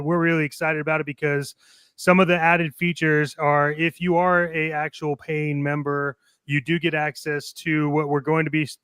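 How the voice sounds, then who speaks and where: A man's voice: a little high, fairly bright tone, smooth, no echo. A man hosting a casual livestream, talking to the audience and signing off. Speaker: show host. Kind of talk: casual livestream sign-off talk.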